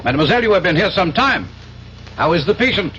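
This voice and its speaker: An actor playing a suave, sinister doctor and agent who speaks formally in a radio drama. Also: male voice, sits high, expressive, fast. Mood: politely officious. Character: suave sinister doctor agent posing as French hospital guard. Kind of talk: 1970s radio drama acting. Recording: muffled, noisy old radio drama recording.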